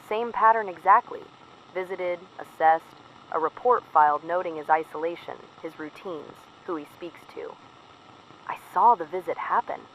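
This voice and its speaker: A woman playing a weary, fearful field operative in an audio drama, confiding a careful, plain-spoken secret report over the radio. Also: low voice. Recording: muffled noisy radio transmission.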